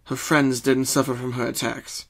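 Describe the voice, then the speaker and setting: A man reading a scripted story as narrator, in a solemn, deliberate and dramatic way. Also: medium pitch, level tone.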